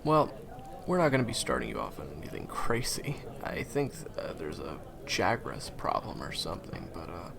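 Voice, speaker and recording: A man playing a low, gravelly, friendly hunting guide. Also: wildly swooping pitch, a crowd in the background, heavily acted. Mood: casual, instructive. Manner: conversational, hesitant pauses, reassuring. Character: friendly male guide, former hunter, reassuring and encouraging. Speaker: guide and handler for a hunter. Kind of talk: fantasy game audio roleplay addressed to the listener.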